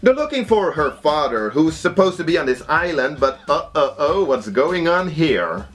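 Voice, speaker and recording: A man from the Netherlands with a Dutch accent, giving casual, chatty film review commentary in a wry, expressive way. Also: fairly high pitch, normal speed. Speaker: video host reviewing horror films.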